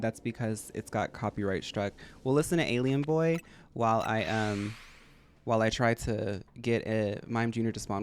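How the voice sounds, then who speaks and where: A man with a high, feminine-sounding voice, chatting casually and rambling to his live-stream audience while he plays. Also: slight background noise. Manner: rambling, chatty, addresses chat as y'all. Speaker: game streamer.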